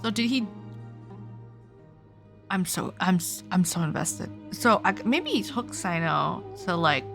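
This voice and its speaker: A woman's voice: lowish voice, lively intonation, unhurried, vocal fry, pauses often, music in the background. A woman livestreamer giving casual, incredulous, slangy reaction commentary on a game.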